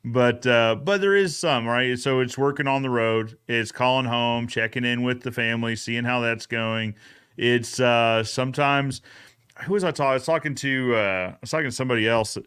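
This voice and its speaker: A man's voice: mid-range voice, normal speed, a bit bright, clear, resonant tone. A man hosting a casual live recap show, talking in an agreeable, conversational way and often checking in with "right?". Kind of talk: casual live podcast chat.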